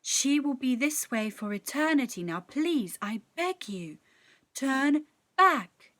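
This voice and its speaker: A woman acting out a tiny fairy sprite, high-pitched, anxious, pleading, animated, slow and dramatic, storybook character. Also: full character voice. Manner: pleading, hushed dramatic warnings. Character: anxious little female-voiced yellow fairy sprite, pleading and urgent.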